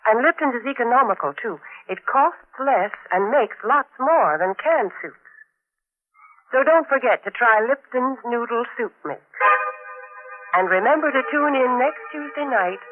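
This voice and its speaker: Tinny narrowband old radio, woman, high voice, 1940s commercial announcer, polished, earnest sales delivery. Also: lively intonation, unhurried, slight background noise. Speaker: sponsor's announcer and co-host.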